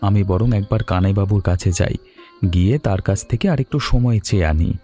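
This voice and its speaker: A man voicing a humble, anxious weaver and husband in an animated story, speaking in an earnest, plaintive way. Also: on the low side, a little fast, faint music behind, natural voice. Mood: worried, reassuring. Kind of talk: Bengali animated story dialogue.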